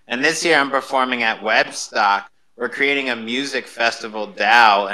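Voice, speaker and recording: A man's voice: lowish voice, bright, forceful tone, poor audio. A man hosting a casual panel talk, informal and enthusiastic, with slangy promotional patter. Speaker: host of an audio panel, music performer.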